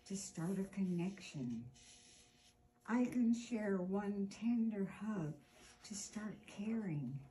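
Woman, 60s, children's storytime reader. Lively, swooping intonation, unhurried delivery, drawn-out key words. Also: a little high, rough recording. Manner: deliberate, expressive, with drawn-out words for emphasis. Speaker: storytime host reading a picture book aloud. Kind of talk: children's storytime read-aloud. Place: indoor room with armchair.

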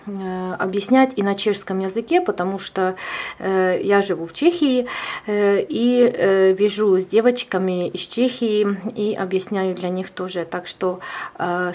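Female crochet tutor, Czech accent, halting delivery with frequent "eh" fillers. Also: medium pitch, a little mellow. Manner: hesitant, many filler sounds. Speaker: crochet teacher. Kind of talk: tutorial explanation. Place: indoors at a table.

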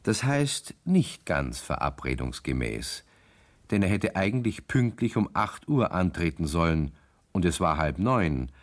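A man's voice: sits low, big pitch swings, unhurried. A man narrating a scripted 1960s radio play as a storyteller.

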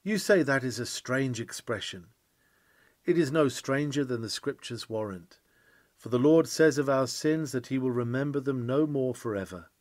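A man's voice: medium pitch, normal speed, no room sound. A man reading an old-fashioned sermon in an earnest, emphatic preaching style. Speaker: reader of a historical sermon.

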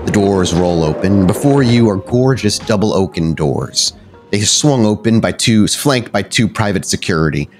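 Male storyteller for a tabletop horror game: deep voice, descriptive scene-setting, light music bed. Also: lively intonation, normal speed.

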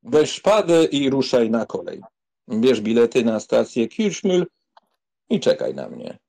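Man playing a proud, husky, mocking young count. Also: mid-range voice, wildly swooping pitch, unhurried, a little mellow, full character voice. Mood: brisk. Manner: haughty, sardonic. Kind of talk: dramatised audiobook reading.

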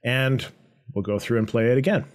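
A man presenting a software tutorial in a conversational, explanatory way with a medium-pitched voice. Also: fairly quick. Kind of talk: informal software tutorial narration.

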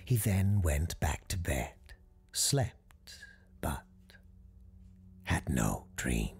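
A woman narrating a ghost story in a hushed, often whispered delivery. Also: rumbling low, big pitch swings, extremely slow, vocal fry.

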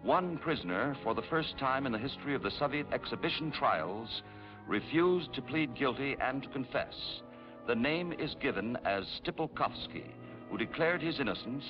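A man giving formal, measured documentary narration on an old 1950s film soundtrack. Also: medium pitch, lively intonation. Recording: dull old film soundtrack with faint music.

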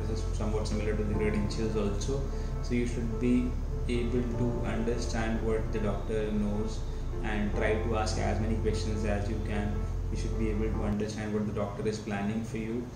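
A man in his thirties with an Indian English accent, a dermatologist giving methodical, instructive advice to camera from his clinic office. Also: fairly low pitch. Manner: measured, instructive, methodical listing. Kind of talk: scripted explainer to camera. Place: clinic office, seated at desk. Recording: roomy sound with loud music bed.

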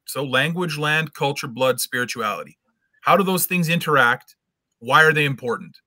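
A man's voice: a little high, animated, normal speed, pushed and bright, no room sound, clean studio sound. A middle-aged man arguing his case in an online debate, insistent and lecturing, repeating words as he presses his point from a room at home on a video call. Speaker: debate guest. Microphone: webcam video call.

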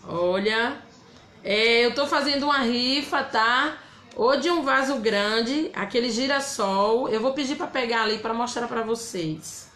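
Woman, Bahian accent, craft teacher on a live stream, slightly high voice, chatty and friendly, phone recording, echoey room. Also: unhurried, a bit bright. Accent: Bahian Brazilian Portuguese. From Bahia, Brazil. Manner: chatty, greets viewers by name and reads out their comments. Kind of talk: casual live craft demonstration. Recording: roomy echo.

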